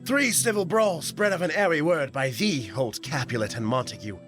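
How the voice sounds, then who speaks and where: A stern, furious prince, acted by a man in a Shakespearean audio play. The voice sits high and rings out sharply. The delivery is declamatory and commanding, over faint music. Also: moderate pace, close to an everyday voice. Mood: stern warning. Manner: declamatory, forceful. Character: angry, commanding prince rebuking brawling subjects.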